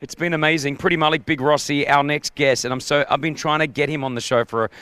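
A man's voice: on the high side, fairly even intonation, quick pace, pushed and bright, smooth, no room sound. A man hosting an upbeat live radio interview with a hyped, informal delivery. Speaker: radio show host.

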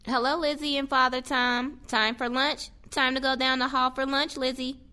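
A woman's voice: high voice, flat delivery, moderate pace, sharp tone, clear, resonant tone. A woman acting as a stern, impatient care-home nurse in an audio play, scolding and curt. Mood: brisk.

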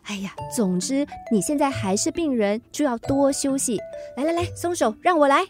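An actress with a Singaporean accent playing a cheeky, doting young daughter in a radio drama, perky and affectionately nagging. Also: female voice, high-pitched, animated, moderate pace, over music. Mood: fussing. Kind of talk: Mandarin radio drama acting.

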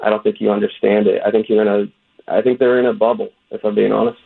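A man's voice: fairly low pitch, flat delivery, quick pace, creaky, husky. A man from West Virginia, a comedian being interviewed, earnestly recounting a conversation with quoted back-and-forth. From West Virginia, USA. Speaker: guest, comedian. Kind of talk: phone-in interview. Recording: tinny phone line.

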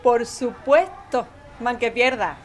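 An actress playing a warm, chatty middle-aged mother with an Andalusian accent and a colloquial, expressive manner. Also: fairly high pitch, slow pace, a little hiss or hum. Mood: chatty, pleased. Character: warm, chatty Sevillian mother and Betis fan. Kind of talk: acted family audio drama.

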